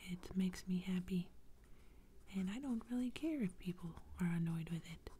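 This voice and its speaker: A woman's voice: on the low side, lively intonation, normal speed, dark tone, slight background noise, lightly acted. A woman whispering the lines of a stubborn little boy who will not give up his gum. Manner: whispered, unbothered.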